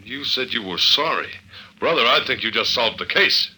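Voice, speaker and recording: Casting: tough, wry radio sleuth, very bright forceful voice, clipped hard-boiled delivery, vintage radio sound. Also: male voice, medium pitch, lively intonation, moderate pace, slight background noise, poor audio. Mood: probing, wry. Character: tough, wry male detective agent narrating his own case. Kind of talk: old-time radio drama acting, hard-boiled first-person narration. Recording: vintage radio drama recording.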